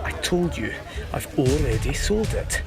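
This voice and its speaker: Nervous, excitable trader played by a man, animated swings in pitch, emotional, music underneath. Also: unhurried. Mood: exasperated. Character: nervous male market trader, exiled time traveller, excitable. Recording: music behind.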